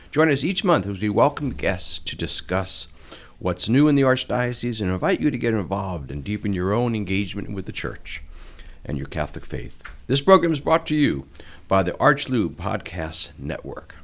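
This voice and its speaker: A middle-aged man with a lowish voice hosts a church podcast, welcoming guests in a friendly way. His pitch rises and falls a great deal as he talks, and he chuckles and restarts small phrases as he goes. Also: sing-song, moderate pace. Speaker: podcast host, diocesan chancellor.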